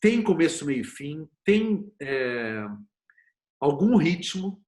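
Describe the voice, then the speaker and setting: A man's voice: fairly high pitch, sing-song, slow, darkish tone. A middle-aged man with a Brazilian accent, speaking as an interview guest in a colloquial, opinionated, conversational way. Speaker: interview guest, series producer and screenwriter. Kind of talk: conversational interview talk with anecdotes.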